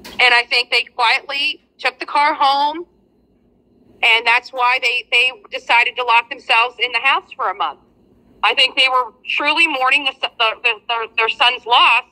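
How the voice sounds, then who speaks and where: High, very sharp-voiced woman. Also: fairly even intonation, normal speed, rough recording. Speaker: livestream panelist. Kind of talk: casual speculative discussion. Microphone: video call replayed from a phone.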